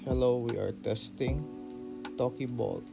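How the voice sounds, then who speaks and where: A man's voice: mid-range voice, unhurried, gravelly, over music. A man giving a casual narrated demo as he reviews a phone app.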